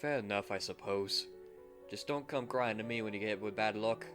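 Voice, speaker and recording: Man acting a blunt rogue best mate, slangy British-style talk, low voice, music behind, anime fan audio drama. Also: normal speed, close to an everyday voice. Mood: hearty, teasing. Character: rough, chummy male best friend, a tipsy cockney-styled rogue.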